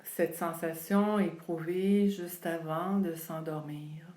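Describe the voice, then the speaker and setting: A woman leading a guided yoga relaxation, speaking calmly and soothingly with long pauses between phrases. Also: on the low side. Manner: calm, unhurried, soothing delivery with long pauses. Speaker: yoga nidra instructor. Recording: roomy, echoing sound.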